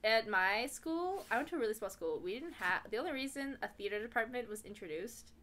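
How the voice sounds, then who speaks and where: A woman's voice: on the high side, animated, a little fast, fairly bright tone. A young adult woman co-hosting a podcast, telling stories in a casual, playful, giggly way. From born in Kuala Lumpur, Malaysia. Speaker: podcast co-host. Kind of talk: casual chat, storytelling.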